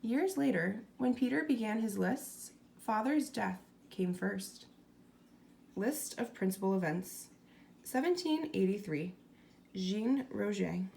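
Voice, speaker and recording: A woman reads a storybook aloud as its narrator. Her pitch moves in lively swoops, and her voice often drops into a creaky fry. The delivery is scripted and expressive. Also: slow.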